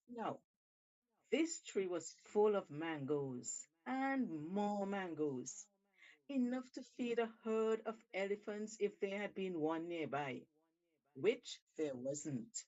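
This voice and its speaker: A woman storyteller reading a tale aloud with deliberate, theatrical emphasis. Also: medium pitch, darkish tone, muffled recording. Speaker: storyteller, show host. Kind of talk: expressive scripted storytelling.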